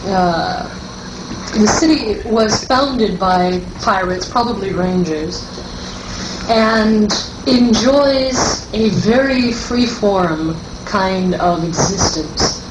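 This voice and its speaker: A woman game designer explaining her invented world in a measured way during a group discussion. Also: mid-range voice. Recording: noisy roomy 1982 cassette tape.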